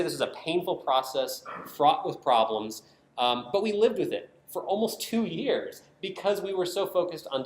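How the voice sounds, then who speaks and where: American man presenting in an echoey room. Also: a little high. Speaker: product designer giving a conference presentation. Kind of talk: conference presentation. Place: indoor conference room, speaking to an audience. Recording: roomy, echoing conference room sound.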